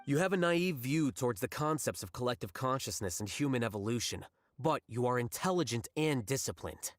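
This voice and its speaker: An actor playing a rational, blunt young scholar in a video game, speaking in a measured, detached, matter-of-fact way. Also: male voice, medium pitch, expressive, dry recording, lightly acted. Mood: cool, blunt. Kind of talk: English video game voice acting.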